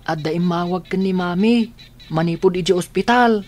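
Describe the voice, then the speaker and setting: A man voicing a gentle, worried young boy, a caring brother in an Ilocano radio drama. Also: high voice, normal speed, faint music behind, close to an everyday voice.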